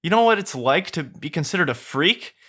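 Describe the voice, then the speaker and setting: A man's voice: mid-range voice, animated, moderate pace, bright, forceful tone, pitched above the performer's own voice. A man voicing a chatty, pushy talking male donkey who rambles on eagerly and begs. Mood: pleading.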